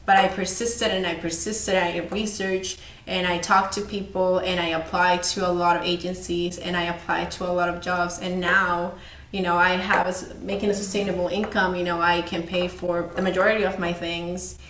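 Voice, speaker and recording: A woman's voice: on the low side, little pitch movement, moderate pace, fairly bright tone, slight background noise. Earnest woman from El Salvador, echoey room. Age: 20s. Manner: earnest, candid, conversational. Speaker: speaker. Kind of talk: personal motivational talk.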